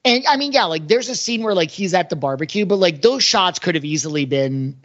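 A man's voice: sits high, expressive, a little fast, fairly bright tone. A man co-hosting a casual podcast discussion about film, talking conversationally with lots of filler "like". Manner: conversational, peppered with "like". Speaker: podcast co-host. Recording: slightly muffled, lo-fi audio.

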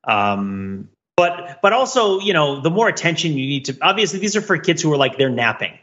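Bright-voiced man on a slightly dull recording. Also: a little high, very animated, normal speed. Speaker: podcast co-host. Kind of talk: casual reflective podcast chat.

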